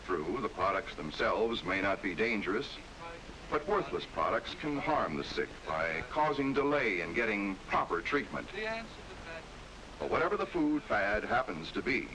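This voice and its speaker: A man's voice: on the low side, big pitch swings, moderate pace, crowd noise, poor audio. An American man reading scripted 1960s documentary narration with an emphatic, authoritative delivery on an old film soundtrack.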